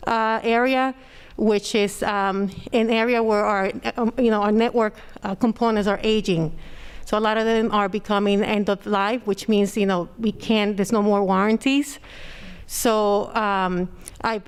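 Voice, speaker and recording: A woman with a clear, smooth, resonant voice gives a technology report to a school board. She speaks at a middle pitch and pauses often with "um" and "uh". She stands a little back from the microphone in a meeting room. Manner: hesitant, many fillers; naturally loud voice. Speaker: district technology director. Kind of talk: presenting a report at a public meeting. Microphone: standing microphone, a little far off.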